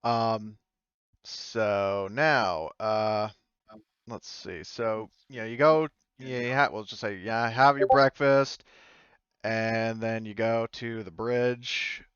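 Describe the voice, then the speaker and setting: A man's voice: fairly low pitch, fairly even intonation, takes it slowly, fairly bright tone, smooth, dry recording, muffled recording. A man acting as game master, narrating casually and informally with some swearing.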